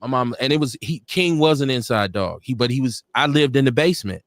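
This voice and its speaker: Man, podcast co-host, loose rambling talk, mid-pitched, dry close sound. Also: animated, brisk, no room sound. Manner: rambling, self-correcting storyteller with a loose, laughing delivery. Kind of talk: casual chat. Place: home studio, livestream.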